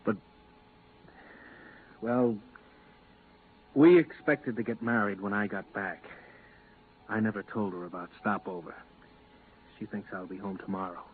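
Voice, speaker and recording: An actor playing an anxious, engaged young spaceman, earnest and halting, in a 1950s radio drama. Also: lowish voice, natural voice. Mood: anxious, pleading. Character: anxious, engaged young spaceman dreading being left behind. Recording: tinny, hissy 1950s radio recording.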